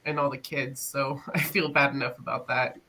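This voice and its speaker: A woman's voice: rumbling low, level tone, normal speed, bright, forceful tone. A woman co-hosting a podcast in casual chat, laid-back, giving short replies and laughing easily. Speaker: podcast co-host.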